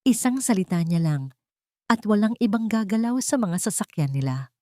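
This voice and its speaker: A woman's voice: medium pitch, expressive, normal speed, darkish tone, no room sound, studio quality. A Filipino woman reading a scripted love story as a dramatic narrator.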